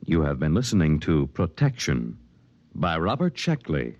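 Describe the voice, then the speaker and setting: A man's voice: very deep voice, huge pitch swings, unhurried, a little mellow, dull audio. A man with an American accent announcing from a script in 1950s broadcast style. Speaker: radio announcer. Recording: old 1950s radio broadcast.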